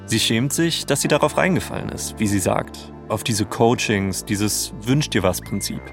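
A man's voice: fairly low pitch, lively intonation, moderate pace. A man with a standard German accent reading scripted narration as a podcast co-host. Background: music bed.

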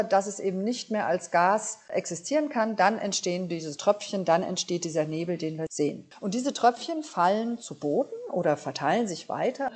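A woman's voice: medium pitch, a little mellow. Woman expert explaining science simply. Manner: clear step-by-step explaining in everyday words. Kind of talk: explanatory interview answers for children.

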